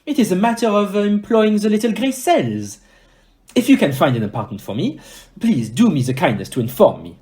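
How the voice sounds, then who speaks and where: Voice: medium pitch, big pitch swings, fairly quick, mellow, natural voice. A performer playing a fussy, formal Belgian male detective in an audio play, with a French accent and precise, courteous speech. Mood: fussy, polite.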